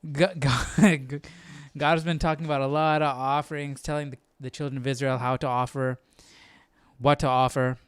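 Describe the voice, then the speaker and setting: A man's voice: mid-range voice, little pitch movement, moderate pace, clear, resonant tone, no room sound, clean studio sound. A man hosting a Bible study livestream, thinking aloud in an informal, musing way and often repeating himself. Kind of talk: casual chat, thinking aloud.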